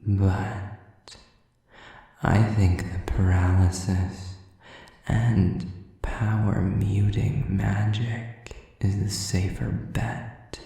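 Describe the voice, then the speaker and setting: A man playing a sadistic, predatory demon in an intimate audio roleplay, taunting with mock politeness and breathy, drawn-out menace. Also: on the low side, flat delivery, warm tone, heavy reverb, far from the mic, exaggerated voice. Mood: mock-friendly menace. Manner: slow, drawn-out, mockingly polite, breathy whispers.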